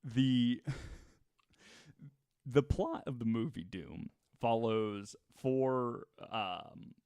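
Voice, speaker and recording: A man co-hosting a podcast, telling a story in a casual, halting, jokey way and often chuckling as he talks. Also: mid-range voice, warm tone, smooth, no echo, clean studio sound. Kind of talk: casual comedic storytelling chat.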